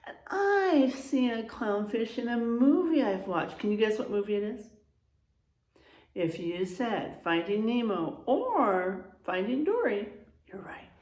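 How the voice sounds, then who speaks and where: A woman teacher reading a children's storybook aloud in an expressive way, adding explanations and checking in with her young listeners. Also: medium pitch. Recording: roomy, echoing room sound.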